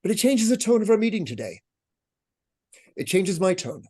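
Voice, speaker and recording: A man with an American accent, hosting an awards ceremony with a measured, earnest, grave speech. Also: high voice, sing-song, a little mellow. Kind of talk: solemn formal speech. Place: online video event.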